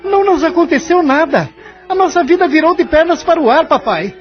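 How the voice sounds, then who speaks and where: A woman's voice: very high voice, expressive, a little fast. A woman voicing a blunt, alarmed son of the family in an audio drama. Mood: agitated. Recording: dull lo-fi audio drama recording.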